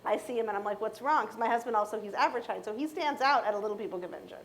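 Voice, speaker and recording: A middle-aged American woman speaking casually and anecdotally to an audience, acting out dialogue in an animated way. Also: mid-range voice, racing, slight background noise. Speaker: keynote speaker on a panel.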